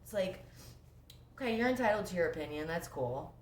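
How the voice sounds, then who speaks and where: A woman's voice: lowish voice, expressive, a little fast. A young woman with a sniffly cold, telling her own story in a rambling casual way at home, full of fillers and self-interruptions. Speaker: vlogger sharing her recovery story. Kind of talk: casual first-person storytelling. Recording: echoey room with faint noise.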